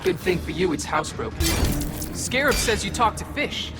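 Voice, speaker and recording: A man's voice: high voice, expressive, normal speed. A man playing a wisecracking, cocky young superhero in a video game, flippant and slangy. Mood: cocky, joking. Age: young adult. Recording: loud music behind.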